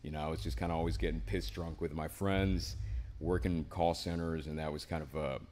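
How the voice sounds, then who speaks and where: Young man, very deep voice, casual rambling interview guest, faint room ambience. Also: steady tone, a little fast, darkish tone, slight background noise. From Miami, Florida. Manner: laid-back, rambling, says "man" and "right?" often. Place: small indoor studio with a painted mural wall.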